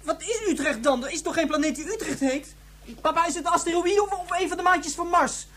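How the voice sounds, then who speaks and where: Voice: very high voice, brisk, a bit bright. A performer playing a whiny, insistent and imaginative young boy in a radio drama, with a childlike voice. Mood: puzzled, insistent. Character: petulant, imaginative young boy, upset about his mother.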